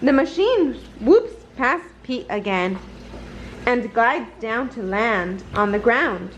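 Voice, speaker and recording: A woman reading a children's picture book aloud in a deliberate, expressive storytelling manner. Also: a little high, slight background noise, poor audio. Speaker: storybook reader.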